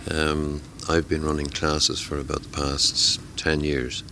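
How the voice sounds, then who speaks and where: A man's voice: rumbling low, monotone, unhurried, bright, forceful tone, a little hiss or hum. A middle-aged man who tutors amateur radio classes, talking at a convention in a hesitant way, with many fillers and repeated words. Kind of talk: conversational interview.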